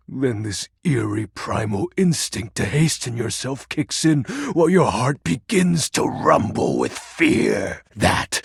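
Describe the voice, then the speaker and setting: Gravelly, theatrical emperor of darkness. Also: male voice, on the low side, dry recording, studio quality, heavily acted. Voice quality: gravelly, husky, sometimes breathy whisper. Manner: pompous, melodramatic, archaic diction. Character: theatrical, grandiose self-styled emperor of darkness. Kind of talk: video game character voice acting.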